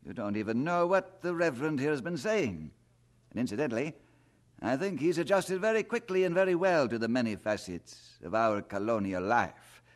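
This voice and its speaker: A man's voice: mid-range voice, sing-song, moderate pace, lightly acted. A man acting in an audio drama as an inquisitive, self-assured colonial newspaperman with a wry, genial, probing manner. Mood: wry, teasing.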